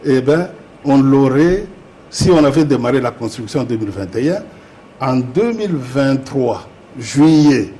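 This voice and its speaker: A man's voice: mid-range voice, mellow, a little hiss or hum. A man, a metallurgist guest explaining emphatically in an interview, often repeating words as he searches. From Democratic Republic of the Congo. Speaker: guest, metallurgist and industrial chemist. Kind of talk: interview discussion.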